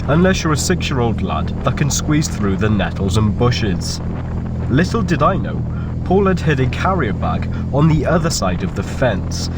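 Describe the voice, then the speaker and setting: A British man narrates a personal story with very lively, swinging intonation. His delivery is informal and cheeky in its language, like a scripted storytime video. Loud vehicle noise runs behind his mid-pitched voice. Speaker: storyteller on own video channel.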